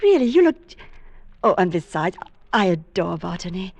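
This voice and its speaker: An actress playing a friendly young female bookshop clerk in an old-time radio drama, sweet and flattering. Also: lowish voice, moderate pace, no echo, muffled recording.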